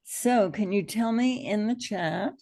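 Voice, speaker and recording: A middle-aged American woman with a gravelly, hoarse allergy voice, informally teaching an online hypnosis class in an encouraging, reassuring way. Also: medium pitch, slow pace, warm tone.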